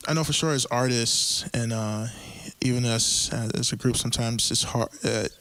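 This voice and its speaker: A man hosting a casual group conversation, talking in a halting way with many fillers and easy laughs. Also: medium pitch, a bit bright, no room sound, rough recording. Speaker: podcast host.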